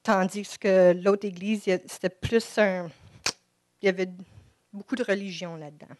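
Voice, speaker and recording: A woman's voice: medium pitch, fairly even intonation, a little mellow, a little hiss or hum. Shy Quebec French woman giving testimony. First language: English. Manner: halting, shy, many restarts. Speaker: church member giving testimony. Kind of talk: personal faith testimony. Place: church service. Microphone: microphone before an audience.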